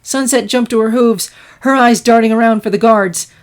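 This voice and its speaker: A woman reading scripted fiction aloud as an expressive story narrator. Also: a little high, normal speed.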